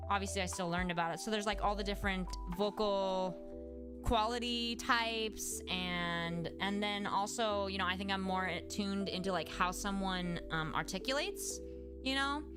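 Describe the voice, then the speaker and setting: A young adult woman, a speech-language pathologist, chatting casually on a livestream and answering viewers, with lots of "like" fillers and restarts. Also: mid-range voice. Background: background music.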